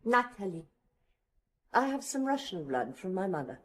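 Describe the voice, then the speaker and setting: Female actor as rich unhappy wife, world-weary, curt, imperious, lively melodic range, radio drama, faint noise. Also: medium pitch, normal speed, close to an everyday voice. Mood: weary, bleak. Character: weary, aloof, elegant unhappy wealthy wife.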